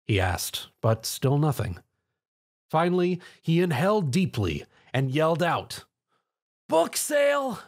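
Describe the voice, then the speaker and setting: A man's voice: a little high, sing-song, unhurried. A man narrating a scripted story in a dramatic storytelling style.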